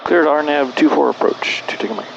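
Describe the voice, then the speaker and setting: A man's voice: fairly high pitch, fairly even intonation, fast talker, vocal fry. A man piloting a small plane, talking tersely and casually on an aviation headset. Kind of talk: cockpit radio readbacks and muttered self-talk. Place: small aircraft cockpit. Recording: noisy, muffled cockpit radio audio. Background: aircraft noise.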